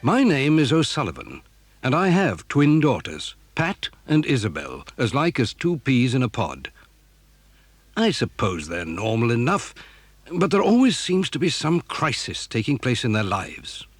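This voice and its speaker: A wry, lively British storybook father. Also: mid-range voice, unhurried, husky, no echo. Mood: wry, genial. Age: middle-aged. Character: genial, gently teasing father of twin schoolgirls. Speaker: narrator and actor. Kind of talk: dramatised children's story narration.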